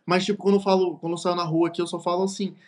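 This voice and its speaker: A young man with a Brazilian Portuguese accent and a few European Portuguese words, his voice sitting a little high. He speaks in relaxed interview chat and often doubles up words as he restarts a thought. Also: racing. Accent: Brazilian Portuguese with some European Portuguese words. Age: young adult. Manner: repeats words, hesitant restarts. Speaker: podcast guest. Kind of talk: casual interview conversation.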